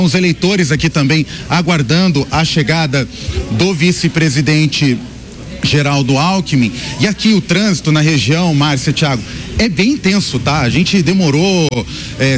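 A man with a Brazilian Portuguese accent from São Paulo state, reporting live as a field reporter from a busy street outside a polling station, describing what he sees as it happens. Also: fairly high pitch. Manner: thinks aloud while describing the scene as it happens. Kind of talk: live election-day news report.

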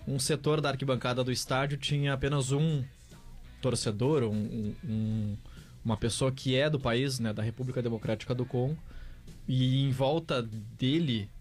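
A man's voice: mid-range voice, fairly even intonation, normal speed, darkish tone, faint music behind. Chatty male studio commentator. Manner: chatty, storytelling. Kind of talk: broadcast chat.

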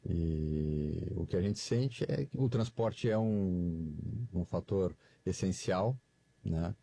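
Very deep husky man. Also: mellow, no echo. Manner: halting, filler-laden speech with frequent "né". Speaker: transport company guest. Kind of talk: interview answers.

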